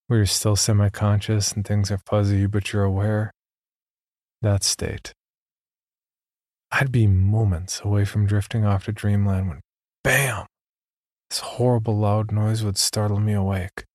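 A man's voice: on the low side, flat delivery, unhurried, long pauses, darkish tone, no room sound, studio quality. A man narrating a scripted horror story as a podcast reader.

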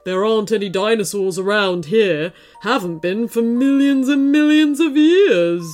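A woman's voice: on the high side, lively intonation, measured pace, a little mellow, soft background music. A woman voicing a wry, teasing but helpful saber-toothed tiger in a children's story, with a knowing, musing drawl. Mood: surprised.

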